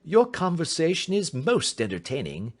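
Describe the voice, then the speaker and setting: A man voicing a cool, imperturbable gentleman detective in an audiobook, suave and precise, with quiet amusement. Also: mid-range voice, big pitch swings, normal speed, voice pushed up. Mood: dryly amused. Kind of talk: audiobook character acting.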